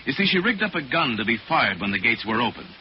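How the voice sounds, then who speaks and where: A man's voice: mid-range voice, big pitch swings, muffled recording, lightly acted. Man acting a shrewd radio detective hero, noisy old recording. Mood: assured, explaining. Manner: measured, explanatory, formal diction. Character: calm, shrewd, authoritative male crime-fighting detective hero.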